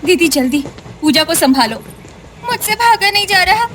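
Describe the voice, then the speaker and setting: A woman acting an anxious, very high-pitched young woman. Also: very animated, moderate pace. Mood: urgent. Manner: anxious, urgent. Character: frightened, protective young women relatives on a train. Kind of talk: melodramatic story acting.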